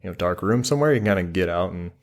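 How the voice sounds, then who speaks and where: A man's voice: sits low, animated, racing. A man being interviewed, explaining his work in an informal, conversational way with short, loosely hedged sentences. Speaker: interview guest, military air traffic controller. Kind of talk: casual explanatory interview chat.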